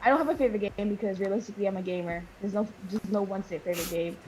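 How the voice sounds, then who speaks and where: A woman co-hosting a gaming podcast in casual chat, soft-spoken and rambling, talking over a wireless headset. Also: mid-range voice, very fast, slight background noise, rough recording. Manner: soft-spoken, rambling, animated when arguing. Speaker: podcast co-host. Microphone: wireless Bluetooth headset.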